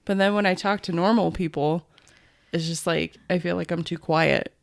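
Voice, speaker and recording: A young adult woman co-hosting a podcast in casual chat. She is soft-spoken and makes short, dry remarks. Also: on the low side, steady tone, moderate pace, creaky, no echo. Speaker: podcast co-host.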